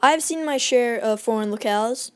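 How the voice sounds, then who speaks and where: A woman playing a proud, hot-tempered gentleman in a radio drama, formal and combative in manner. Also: on the high side, normal speed, smooth, dry recording, natural voice. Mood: self-assured.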